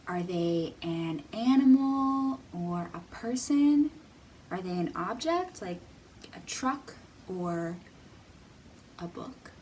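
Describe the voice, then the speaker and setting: A woman hosting a children's storytime, speaking in simple, deliberate, teacherly phrases with pauses and questions for young viewers. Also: fairly low pitch, lively intonation, a little mellow, far from the mic, slight background noise, poor audio. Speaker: children's library storytime host.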